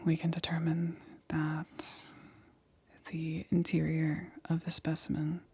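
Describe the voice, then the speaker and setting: A woman performs an ASMR roleplay as a careful scientist, in a very breathy whisper. Her delivery is slow and fairly flat, with a deliberate, clinical way of giving instructions. The sound is dry, with no room around the voice. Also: deep voice, dry recording. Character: careful, excited female scientist examining an alien specimen.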